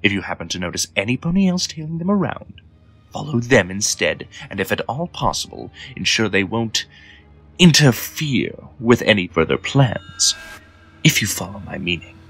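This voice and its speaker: A man voicing a coolly scheming, commanding unicorn lord in an audio drama, speaking in a measured, imperious and formal way with a husky voice. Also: fairly low pitch, big pitch swings, a bit bright, faint music behind, full character voice. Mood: instructing. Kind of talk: audio drama character acting.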